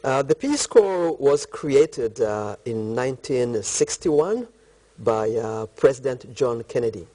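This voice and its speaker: A middle-aged man from eastern Congo speaks with a Congolese accent as an interview guest. His voice is dark and mellow at a medium pitch. He answers deliberately, in short, halting phrases. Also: animated, poor audio. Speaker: interview guest, country director of a volunteer organisation.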